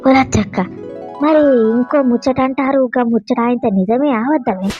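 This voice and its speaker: A fairly high voice of an inquisitive village wife in a comic cartoon , performed by a woman. She is insistent and grumbles in an earthy Telangana accent, with faint music behind her. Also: moderate pace, breathy edge, no echo, highs cut off. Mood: eager, curious. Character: eager, eavesdropping village wife and mother.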